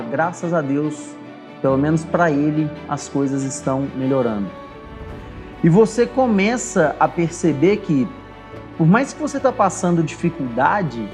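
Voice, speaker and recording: A man in his thirties tells his own story in an earnest, reflective tone, his voice sitting a little high for a man. Soft music plays faintly beneath his narration. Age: 30s. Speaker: barbershop owner and course creator. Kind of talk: scripted personal story narration.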